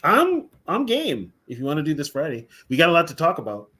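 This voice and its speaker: A man's voice: fairly high pitch, huge pitch swings, brisk, bright, forceful tone, breathy edge. A man co-hosting a casual live stream, chatty, laughing easily and talking to the viewers in the chat. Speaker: co-host of a fan podcast.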